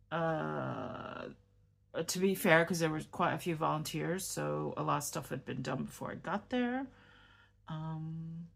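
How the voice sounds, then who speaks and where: A woman's voice: low voice, vocal fry. A woman from New York with a New York accent, chatting casually and rambling a little as she writes in a notebook. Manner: rambling, self-correcting, murmurs while writing. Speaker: fountain pen vlogger. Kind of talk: casual chat while writing.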